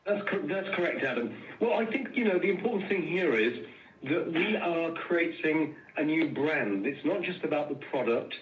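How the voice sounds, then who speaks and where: A man answers questions as a company executive on a conference call. He phrases things earnestly and deliberately, often repeating small words. The sound is muffled and echoey, set well back from the microphone. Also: a little high, expressive, far from the mic.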